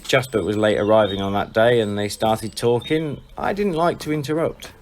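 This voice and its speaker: A man playing a perceptive, laid-back and quietly frank friend in an audio drama, unhurried and matter-of-fact. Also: on the low side, slight background noise, lightly acted. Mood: frank, calm.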